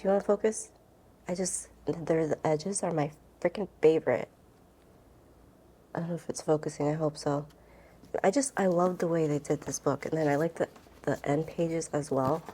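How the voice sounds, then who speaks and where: A woman's voice: low-pitched, moderate pace, long pauses, dark tone, breathy edge. A woman book vlogger chatting casually and rambling to camera, with an informal, gushing and emphatic way of talking.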